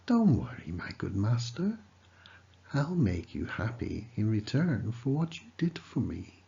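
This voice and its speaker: A man's voice: lowish voice, sing-song, measured pace, gravelly, dark tone, dull audio, pitched above the performer's own voice, heavily acted. A man voicing a clever talking cat in a children's storybook, eager and courtly, with coaxing, deferential persuasion. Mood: reassuring. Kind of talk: children's storybook character reading.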